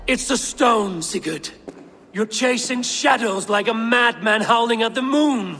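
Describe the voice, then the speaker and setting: Gruff, husky Viking warrior. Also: male voice, very high-pitched, a little slow, soft background music, full character voice. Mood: reproachful. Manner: blunt, forceful. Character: blunt, defiant, honor-bound male Viking raider. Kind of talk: dramatic video game acting. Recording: long hall-like reverb.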